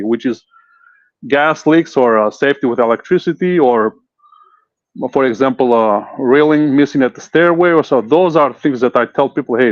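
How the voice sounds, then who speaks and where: A man's voice: a little high, no echo, dull audio. A man who grew up in Italy, a home inspector answering interview questions earnestly and with many hesitant fillers.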